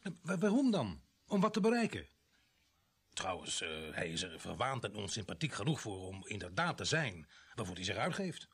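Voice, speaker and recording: A village police sergeant in a radio drama. His voice is husky and moves up and down animatedly. He grumbles, hesitates and stumbles over his words. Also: on the low side, big pitch swings, moderate pace, fairly bright tone, full character voice. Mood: skeptical. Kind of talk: naturalistic radio play acting.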